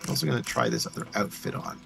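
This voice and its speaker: Easygoing man, lively pitch. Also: very fast, vocal fry, over music. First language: Swedish. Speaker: co-host playing a game. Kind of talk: casual gaming chat.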